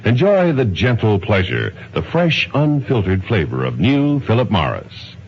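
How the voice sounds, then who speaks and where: Animated 1950s radio announcer man. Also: on the low side, measured pace, slight background noise. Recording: muffled 1950s radio with faint music.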